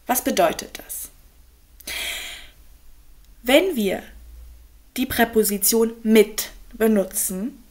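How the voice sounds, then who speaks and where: A young woman, a native speaker and German teacher, calmly explaining grammar in an online lesson with worked examples. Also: medium pitch. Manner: slow, clear, patient explaining with examples.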